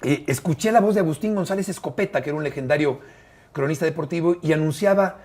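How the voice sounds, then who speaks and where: A man in his fifties with a Mexican accent, speaking in a fairly high voice. He tells his stories expressively and with emphasis, with frequent "eh" fillers between phrases. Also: quick pace, a little mellow. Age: 50s. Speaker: sports commentator guest. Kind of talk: conversational interview storytelling.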